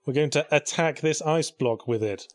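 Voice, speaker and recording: A man's voice: fairly high pitch, moderate pace, no room sound, studio quality. A man giving sarcastic, joking video game commentary alongside a co-host. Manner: sarcastic, sardonic.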